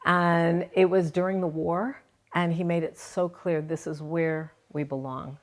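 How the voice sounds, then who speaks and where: A woman's voice: fairly low pitch, fairly even intonation, unhurried. A woman guest giving an earnest, conversational account of her faith in an interview. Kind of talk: interview, personal testimony.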